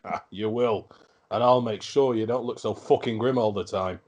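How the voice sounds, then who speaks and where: A man playing a hearty, blunt, affectionate middle-aged king in a script table read, with a gruff, familiar manner. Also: lowish voice, moderate pace, darkish tone. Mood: blunt, jovial.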